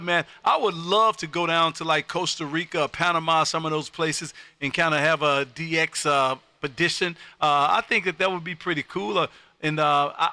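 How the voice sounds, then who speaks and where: An American man works the ham radio as a live stream host, with a very sharp, punchy voice. He is loud and folksy, repeating his phrases. The sound is close and dry. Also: sits high, dry recording.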